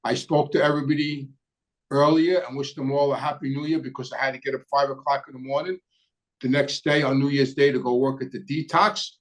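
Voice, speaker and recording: An older man from New York, with the local accent, speaks at a middling pitch. He hosts a podcast solo, addressing viewers casually and plainly, breaking his talk into short phrases with pauses. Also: steady tone, poor audio. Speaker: podcast host.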